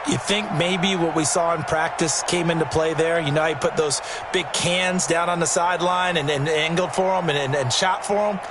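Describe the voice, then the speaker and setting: A man's voice: on the high side, steady tone, moderate pace, close to an everyday voice. A man playing a football color analyst in a video game, explaining plays in an emphatic, conversational way. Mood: engaged, analytical. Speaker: color commentator. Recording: loud stadium crowd noise behind, game stream. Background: crowd and loud game sounds.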